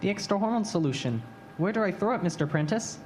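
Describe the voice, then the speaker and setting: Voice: medium pitch, expressive, fast, a little mellow, natural voice. A performer playing a weary, confused, obedient boy assistant in an old-time radio drama, plaintive and full of questions. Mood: tired, puzzled. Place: stage before a live audience. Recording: live stage recording, faint noise.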